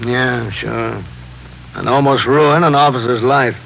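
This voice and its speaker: An American actor playing a stern, plain-spoken New York police captain in a 1950s radio drama. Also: male voice, mid-range voice, a little slow, creaky, a bit bright. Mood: dry, unimpressed. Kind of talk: 1950s radio drama acting and first-person narration. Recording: noisy 1950s radio broadcast recording.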